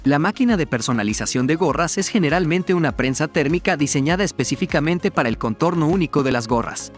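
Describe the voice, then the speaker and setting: A man narrating a scripted promotional explainer in a measured, formal read-out manner. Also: a little high, animated. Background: background music.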